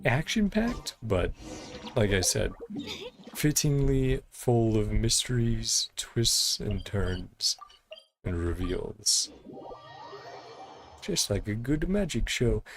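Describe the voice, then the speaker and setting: A woman giving casual, giggly, rambling commentary as she plays through a game's story. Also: rumbling low. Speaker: game streamer reacting to story quest. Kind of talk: casual playthrough commentary, reading on-screen text. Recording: game music faintly behind. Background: video game music.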